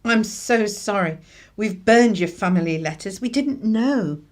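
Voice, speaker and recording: A woman plays a courteous mother in a radio drama. She is apologetic, with pitch that rises and falls widely. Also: expressive, a little slow, natural voice.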